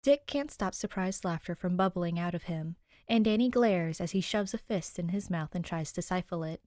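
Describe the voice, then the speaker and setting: Lowish-voiced woman narrating, very dry sound. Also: normal speed, darkish tone, no echo. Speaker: podfic narrator. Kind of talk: scripted story narration.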